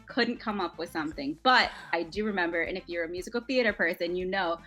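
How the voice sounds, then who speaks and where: A woman's voice: on the low side, quick pace, sharp tone, faint music behind. A woman from Minnesota with a light Minnesotan accent, chatting as an interview guest, chatty and self-deprecating, with frequent laughs. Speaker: guest, makeup artist. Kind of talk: casual interview chat.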